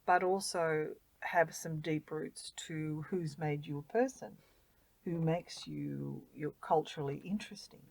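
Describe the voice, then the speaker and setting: A middle-aged woman, speaking earnestly and warmly as a guest in a casual interview, sometimes repeating words as she gathers her thoughts. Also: low-pitched, measured pace, vocal fry, no room sound. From Australia. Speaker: guest volunteer.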